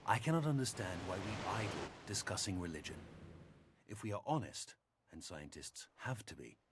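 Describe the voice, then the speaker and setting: A man's voice: fairly low pitch, very animated, normal speed, very noisy. A man reading scripted quotations for game audio logs.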